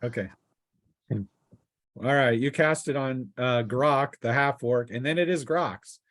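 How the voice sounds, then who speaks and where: A man's voice: medium pitch, measured pace, fairly bright tone, no room sound. A jovial man acting as game master, casually narrating and bantering through a tabletop role-playing session. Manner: jovial, laughs often.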